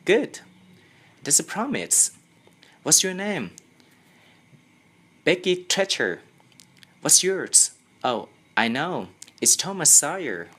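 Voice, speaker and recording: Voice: medium pitch, sing-song, takes it slowly, pauses often, a bit bright, breathy edge, voice pushed up, exaggerated voice. A young reader with a Thai accent voicing a curious, proud little schoolgirl in an audiobook, sounding inquisitive.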